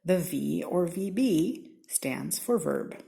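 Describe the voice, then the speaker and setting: An American woman from Seattle reading a children's storybook aloud in an expressive, theatrical way, with deliberate, playful emphasis. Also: lowish voice, gravelly. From Seattle, United States. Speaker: storybook reader.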